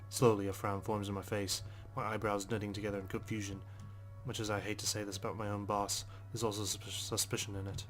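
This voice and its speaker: A man reads a visual novel aloud as a playthrough host. His voice is low and fairly flat, brooding and free with swearing, dropping into casual asides over faint music. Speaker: let's play host.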